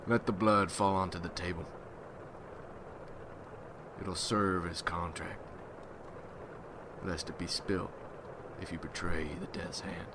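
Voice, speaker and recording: A man acts out a vengeful vampire hunter in an ASMR roleplay, with a very low voice and a slow, measured delivery. He is grim and solemn, brooding over every phrase. Loud ambient noise runs behind him. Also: flat delivery. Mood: businesslike, grim. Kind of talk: ASMR roleplay, dramatic monologue.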